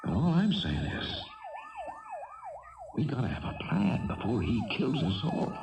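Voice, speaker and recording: A man's voice: medium pitch, wildly swooping pitch, lo-fi sound, a loud crowd. An actor playing a nervous, worried family man, a mild schoolteacher, in a 1970s radio drama. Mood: exasperated. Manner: nervous, halting, protesting. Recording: distant old radio broadcast.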